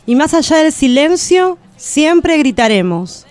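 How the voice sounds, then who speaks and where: A woman's voice: high-pitched, very animated, slow, darkish tone, heavily acted. An actress playing an aching yet unbroken, defiant everywoman, reciting in solemn and emphatic poetic radio theatre.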